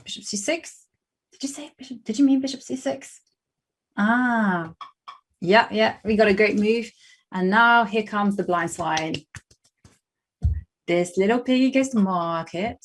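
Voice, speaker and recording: A woman's voice: mid-range voice, unhurried, pauses often. A British woman in her thirties chatting casually while playing chess on a livestream, rambling with lots of fillers and restarts. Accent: British English. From Britain. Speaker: chess streamer.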